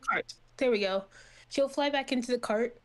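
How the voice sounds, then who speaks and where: A woman's voice: a little high, moderate pace, crowd noise. A woman playing a tabletop game, casually and playfully narrating her character's actions.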